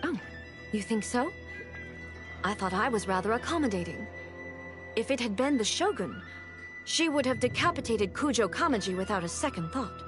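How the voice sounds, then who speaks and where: A woman playing a dignified, stern ruling goddess and swordswoman in a video game, speaking in a formal, measured way. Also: a little high, lively intonation, over music, close to an everyday voice. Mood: calm, reflective.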